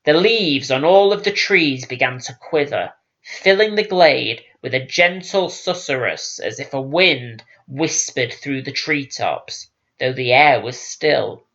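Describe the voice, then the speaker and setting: Slow, solemn male audiobook narrator. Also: mid-range voice, animated, fairly bright tone, highs cut off. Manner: solemn, dramatic. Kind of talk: scripted fantasy narration.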